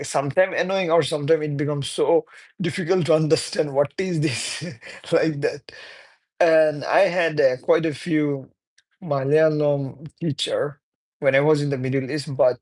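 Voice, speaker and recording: Chatty man with a higher voice. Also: darkish tone. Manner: chatty, laughs often, non-native phrasing. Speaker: livestreamer. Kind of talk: casual live-stream chat reading viewer comments.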